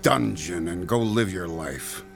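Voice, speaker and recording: An actor playing a menacing, sneering extortionist who taunts with mock friendliness in an audio drama. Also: male voice, low voice, slow, vocal fry, faint music behind, exaggerated voice. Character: menacing, sneering male extortionist thug, mocking bully. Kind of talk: modern audio drama acting.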